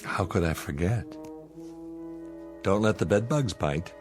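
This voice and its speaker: Sarcastic male American space commander, radio play: lowish voice, needling, short on patience. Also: expressive, a little slow, over music. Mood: weary irritation. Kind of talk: radio drama acting.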